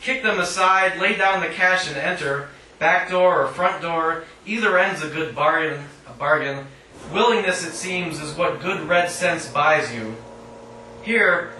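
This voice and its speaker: A man's voice: a little high, bright, forceful tone. Echoey, wry man reading poetry live. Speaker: featured poet at a reading. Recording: roomy, distant mic, faint noise.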